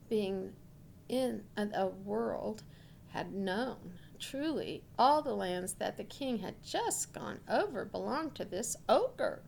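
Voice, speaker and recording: Unhurried, expressive woman storyteller. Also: mid-range voice, vocal fry, slight background noise. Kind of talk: expressive fairy-tale narration read aloud.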